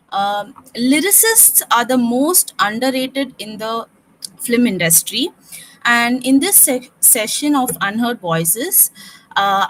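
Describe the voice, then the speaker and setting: High pitched woman, urdu native, explaining stuff to class on a zoom call, lots of uhs. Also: fairly bright tone, a little hiss or hum, low-quality recording. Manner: earnest, explanatory, with frequent fillers. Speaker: student presenter. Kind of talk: class presentation over video call. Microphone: video call.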